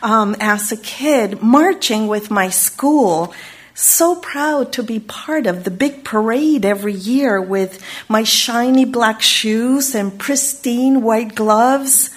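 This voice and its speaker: Woman speaker, unhurried and solemn, sermon delivery. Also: on the high side. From Chile. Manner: measured, solemn, lingering on single words. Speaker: Unitarian Universalist speaker. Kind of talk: slow reflective sermon.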